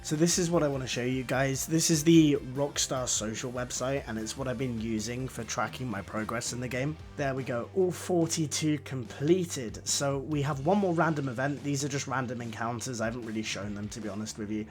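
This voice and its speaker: A man talks through his gameplay in a chatty, self-deprecating way. His mid-pitched voice is a touch croaky from recent illness. Faint music plays underneath. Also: brisk, hardly any pauses. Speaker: gaming YouTuber. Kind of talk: narrated gameplay commentary.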